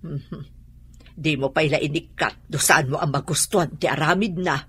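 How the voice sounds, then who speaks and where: A woman's voice: deep voice, moderate pace, fairly bright tone, slight background noise. A woman playing a worried middle-aged mother with an Ilocano Filipino accent in a radio drama, questioning with concern. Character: worried, fussing mother of a grown son.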